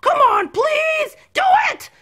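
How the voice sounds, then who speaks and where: Woman playing a high-pitched, pushy teenage badger girl. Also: normal speed, full character voice. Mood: demanding, pleading. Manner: pushy, excitable pleading. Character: fifteen-year-old tomboy badger girl, brash and demanding.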